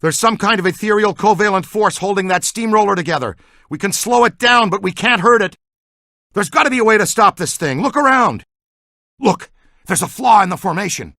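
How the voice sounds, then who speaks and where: A seasoned video game ghost hunter, talking like a streetwise squad mate. The voice is forceful and bright, with big pitch swings and no room echo. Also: male voice, animated, a little fast, clean studio sound, full character voice. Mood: urgent, alarmed. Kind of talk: video game character dialogue.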